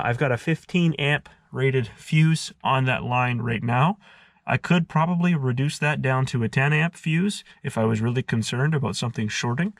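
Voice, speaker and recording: A man's voice: medium pitch, no room sound, clean studio sound. A man narrating a casual hands-on DIY tutorial in a methodical, explanatory way. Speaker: host of a DIY car repair tutorial.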